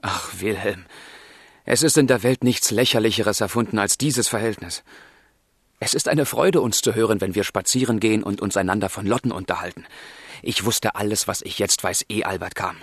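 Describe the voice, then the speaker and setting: Casting: volatile, lovesick young gentleman for literary radio play; lowish, husky, theatrical. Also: normal speed, no room sound, clean studio sound. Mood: brooding, fervent. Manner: fervent, theatrical, confiding. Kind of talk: German literary radio drama acting.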